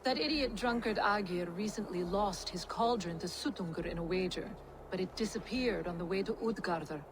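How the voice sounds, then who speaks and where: A woman acts out a scornful, shrewd schemer in a fantasy video game. Her medium-pitched voice is blunt and mocking. Clear ambient noise runs behind her. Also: moderate pace, lightly acted. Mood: scornful, businesslike. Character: scornful, shrewd, self-serving woman bargaining with a god. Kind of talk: video game cutscene dramatic acting.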